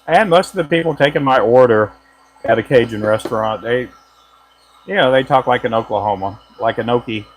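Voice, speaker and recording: A man's voice: mid-range voice, a little fast, rough recording. A man from the United States chatting casually and joking with viewers while he works, laughing often. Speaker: lure maker and channel host. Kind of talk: casual live chat while working.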